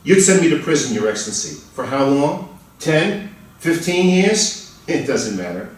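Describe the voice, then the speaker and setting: A man's voice: a little high, very animated. Man playing a pleading accused murderer in an echoey hall. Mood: bitter resignation. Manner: emphatic, pleading, drawn-out outbursts. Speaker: reader playing the accused in a play. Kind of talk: dramatic stage reading. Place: large indoor room at a college festival reading. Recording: echoey hall, far from the mic.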